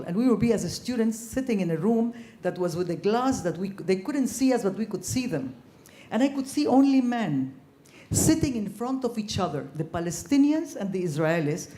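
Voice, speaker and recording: Older woman, mellow voice in an echoey hall. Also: medium pitch. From Ecuador. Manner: earnest, reflective, frequent ums, growing animated. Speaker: panelist, former ambassador. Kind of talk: panel discussion storytelling. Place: conference hall.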